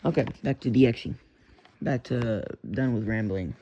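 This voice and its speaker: A man's voice: medium pitch, moderate pace. A man with a Texan accent, a radio hobbyist rambling casually and cursing now and then as he talks about his radios at home. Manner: rambling, profane, self-interrupting. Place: bedroom at home. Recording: lo-fi noisy home recording.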